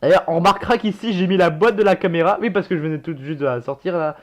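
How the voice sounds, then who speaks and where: Young male vlogger, casual and self-mocking, swears freely, voice sits a bit high. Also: fast. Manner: chatty, self-mocking, swears often, laughs at himself. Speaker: YouTuber reacting to his old videos. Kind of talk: casual reaction commentary.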